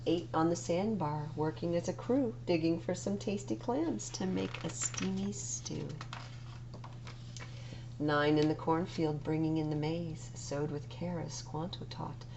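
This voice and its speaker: A woman with a lowish, slightly creaky voice reads a children's story aloud. Her delivery is expressive and deliberate, as at a story time. There is clear noise and hum behind her. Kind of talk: read-aloud picture book narration.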